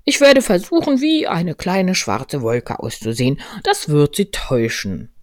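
A woman voicing a naive, earnest male toy bear from a storybook, speaking in a ponderous, deliberate manner. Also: on the low side, huge pitch swings, no echo, clean studio sound, voice pushed up. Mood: pondering, scheming. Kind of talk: storybook character voice.

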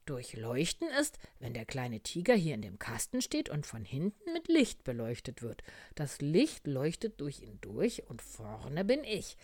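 A woman voicing a brisk, self-assured, formal male frog doctor with emphatic, clipped pronouncements in a children's storybook. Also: deep voice, huge pitch swings, a little mellow, no room sound, clean studio sound, heavily acted. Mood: reassuring.

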